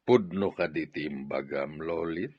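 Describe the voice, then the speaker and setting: A man's voice: very deep voice, unhurried, slightly rough, dry recording, lo-fi sound, heavily acted. An actor playing a troubled husband and father in a radio drama, pressing for the truth. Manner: pleading, questioning.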